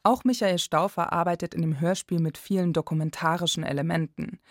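A woman's voice: lowish voice, fairly even intonation, brisk, dry recording, clean studio sound. A woman reporter reading scripted narration for a radio feature.

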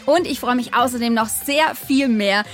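An actress playing a gushing, playful young podcast host in a chatty studio interview within an audio drama. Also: female voice, fairly high pitch, normal speed, soft background music, lightly acted.

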